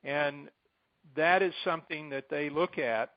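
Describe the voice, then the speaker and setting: A middle-aged American man briefing supporters informally on a conference call, speaking in deliberate, halting phrases with emphatic repetition. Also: on the high side, no echo. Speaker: advocacy group president addressing supporters. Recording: dull, band-limited conference call line.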